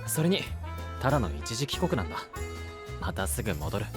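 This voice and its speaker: A frank young knight in an anime audio drama. He talks in a relaxed, straightforward, brotherly way with very lively melody. Music is clearly heard underneath. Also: lowish voice, brisk. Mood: easygoing. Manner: casual masculine speech, relaxed and straightforward. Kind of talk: Japanese anime-style voice drama.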